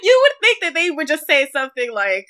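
A woman with a fairly high voice and a bright, crisp edge co-hosts a casual film discussion. She is animated and opinionated, with a sardonic streak. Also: a little fast. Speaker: film podcast co-host.

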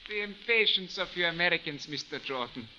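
A fussy elderly professor who speaks in stiff, formal sentences and stammers now and then. His voice sits high and bright, and his pitch rises and falls a lot. The old broadcast sound is dull and lo-fi. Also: slight background noise. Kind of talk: 1940s radio acting. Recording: dull old radio recording.

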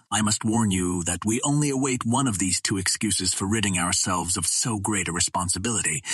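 A man's voice: lowish voice, bright, forceful tone, breathy edge, no echo, heavily acted. A man voicing a stern, menacing green Martian warrior chieftain in an audiobook, speaking formally and with command.

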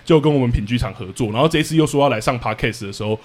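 A man with a Taiwanese Mandarin accent co-hosting a casual podcast in an animated, jokey, chatty way. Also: medium pitch, very fast. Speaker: podcast co-host.

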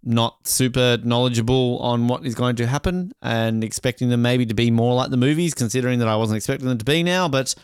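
A man's voice: mid-range voice, fairly quick, few pauses, smooth, no room sound, studio quality. A man chatting casually as a podcast co-host. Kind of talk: casual chat.

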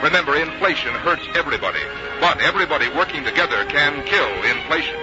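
An American man working as a radio announcer, reading scripted 1950s copy in a polished, measured way. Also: on the high side, animated. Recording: dull vintage radio recording with music.

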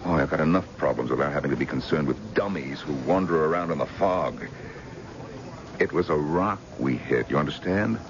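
An actor playing an evasive, irritable husband with a blustering, defensive manner in a 1970s radio drama. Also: male voice, very deep voice, expressive, a little fast. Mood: defensive, irritated. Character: evasive, irritable husband hiding a hit-and-run. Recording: dull, noisy old radio broadcast recording.

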